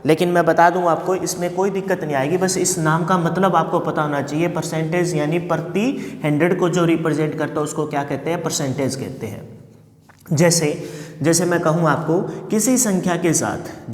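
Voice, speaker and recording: A male math teacher with a slightly hoarse throat, giving a classroom lesson and answering his own questions while he repeats key points. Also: fairly high pitch. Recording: echoey room with long reverb.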